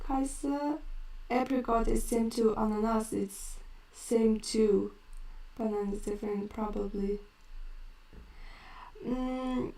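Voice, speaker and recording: Slow, hesitant Kazakh-accented woman teacher. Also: on the high side, a little mellow, roomy sound, distant mic, poor audio. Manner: slow and hesitant, many fillers. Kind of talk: live-stream lesson answering chat.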